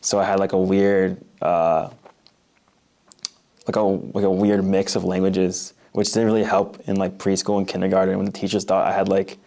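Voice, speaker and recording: A man's voice: low voice, little pitch movement, moderate pace, creaky, mellow. A nineteen-year-old man with a Midwestern American accent, reading a passage and then chatting casually in an interview, with lots of "like" fillers. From Oak Park, Illinois, USA. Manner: casual, uses many "like" fillers.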